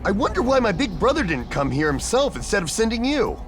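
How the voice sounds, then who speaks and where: An actor voicing an excitable, chatty young animal plumber, a little brother, in a video game. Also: male voice, high voice, fairly quick, over music. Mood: teasing. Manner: exuberant, chatty.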